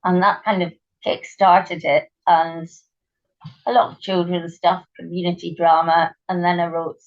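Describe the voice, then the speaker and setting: Croaky, low-voiced woman on a dull line. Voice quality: gravelly. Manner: thoughtful, trails off with ums. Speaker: interview guest, writer and actress. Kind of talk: relaxed conversational interview. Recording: dull lo-fi remote audio.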